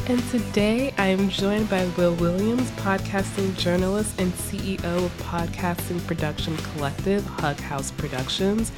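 A woman's voice: medium pitch, normal speed, hardly any pauses, over loud music. A woman hosting a podcast in casual chat, effusive and wry. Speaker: podcast host.

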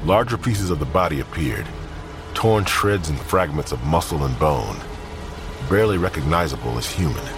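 Very deep-voiced male crime narrator. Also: expressive, normal speed, music in the background. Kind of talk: scripted crime fiction narration. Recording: clear ambient noise behind voice.

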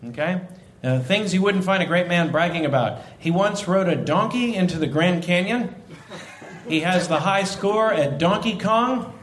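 A man's voice: high voice, moderate pace. A man hosting a comedy podcast in casual banter, often chuckling and amused. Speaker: podcast host. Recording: echoey room, loud noise and clicks.

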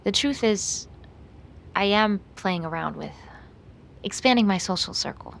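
An actress playing an aloof, sheepish young woman in an audio drama, halting and understated. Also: lively intonation, gravelly. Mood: apologetic, cautious. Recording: background noise and rumble.

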